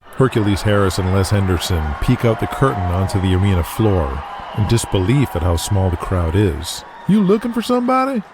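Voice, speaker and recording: A man with a low voice delivers scripted narration for an audio drama. He tells it dramatically in the present tense, over a loud music bed. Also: normal speed.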